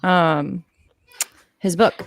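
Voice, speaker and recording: A woman with a slightly low voice talks casually as a guest on a livestream. She is informal and swears now and then. The sound is close and dry. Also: steady tone, very slow, dry recording. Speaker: livestream guest, activist live-tweeter.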